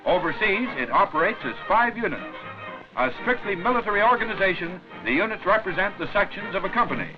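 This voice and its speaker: High-pitched 1940s newsreel man. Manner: jaunty, declamatory showman delivery. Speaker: newsreel narrator. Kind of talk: 1940s newsreel narration, scripted. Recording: dull vintage 1940s newsreel soundtrack. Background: music.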